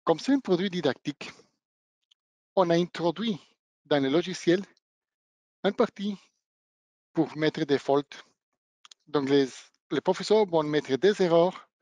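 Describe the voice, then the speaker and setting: A man who is not a native speaker of the language, giving a webinar demonstration of training equipment and pausing often to search for words. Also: a little high, a little mellow, dry recording, highs cut off. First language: not French. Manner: halting, searching for words, non-native phrasing. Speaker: product trainer.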